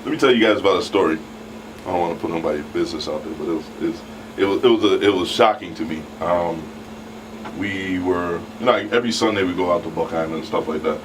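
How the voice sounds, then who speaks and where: A man with a low voice talks casually in a panel discussion, often doubling back on words as he finds his phrasing. He is off the mic, with clear air-conditioning noise behind him. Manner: halting, repeats words as he searches for phrasing. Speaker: talk show panelist. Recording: distant mic, clear background hum.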